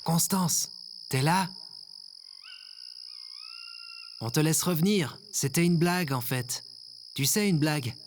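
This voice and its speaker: A man's voice: fairly high pitch, normal speed, noisy background, lightly acted. A man playing an impulsive, remorseful ordinary guy in an audio drama, speaking casually and colloquially. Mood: calling out. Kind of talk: scripted audio fiction acting.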